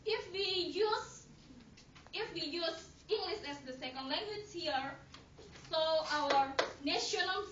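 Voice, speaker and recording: A woman giving a student debate speech, halting and full of fillers and restarts in non-native English, heard from far off in an echoey hall on a dull lo-fi recording. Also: very high voice, background noise. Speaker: debater, third speaker of negative team.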